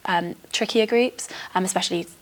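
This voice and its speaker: A woman's voice: on the low side, normal speed, poor audio. A young British woman, a teacher, speaking casually and reflectively in conversation. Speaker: early-career PE and geography teacher.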